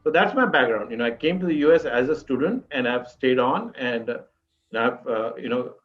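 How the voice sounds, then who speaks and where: A man in his fifties with an Indian accent, telling his life story as an interview guest in halting, repetitive phrases. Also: medium pitch, expressive. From Mumbai, India. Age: 50s. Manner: halting, repetitive phrasing with frequent you-knows. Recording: dull lo-fi call audio, music underneath.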